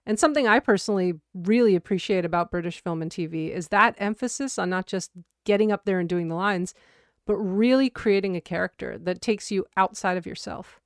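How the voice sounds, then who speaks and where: A woman interviewing an actor in a friendly, chatty way, telling lively stories. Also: mid-range voice, brisk, no room sound, clean studio sound. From United States. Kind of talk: casual interview.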